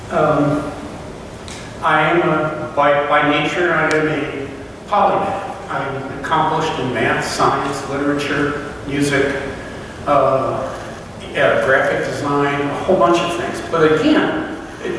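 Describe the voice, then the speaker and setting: A man giving a personal reflective talk to a fellowship, halting and searching for words with frequent restarts. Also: fairly high pitch, background noise. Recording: echoey hall from far off.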